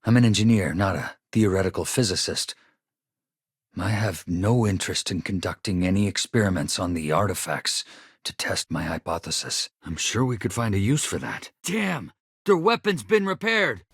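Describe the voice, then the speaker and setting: An actor playing an earnest, anxious spaceship engineer in a video game, with a husky voice and a hesitant manner. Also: male voice, low voice, lively intonation, normal speed, no room sound, studio quality, heavily acted. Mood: earnest, helpful, then urgent, excited. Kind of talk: video game character dialogue.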